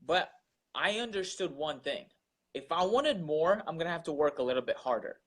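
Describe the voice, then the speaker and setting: A man's voice: on the high side, animated, moderate pace, fairly bright tone. A young man from Russia, a guest entrepreneur, talking earnestly about his daily grind, repeating words and using many fillers. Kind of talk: casual interview talk.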